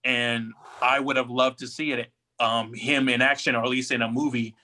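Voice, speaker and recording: A man's voice: medium pitch, flat delivery, moderate pace, pushed and bright. A young man co-hosting a casual chat, speaking emphatically as a keen fan. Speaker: podcast co-host.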